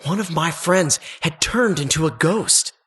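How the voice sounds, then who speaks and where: Husky, dramatic adventure narrator. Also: male voice, fairly high pitch, animated, unhurried, fairly bright tone, heavily acted. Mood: solemn suspense. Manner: suspenseful, theatrical, wistful storyteller. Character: adventurer recalling childhood dungeon exploits, earnest and dramatic. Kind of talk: dramatic storybook narration for a video game.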